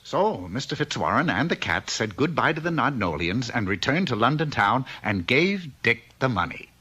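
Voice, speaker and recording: A man's voice: mid-range voice, big pitch swings, normal speed, pushed and bright, breathy edge. A man narrating a comic fairy-tale cartoon from the 1960s as a wry storyteller. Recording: dull, noisy old cartoon soundtrack.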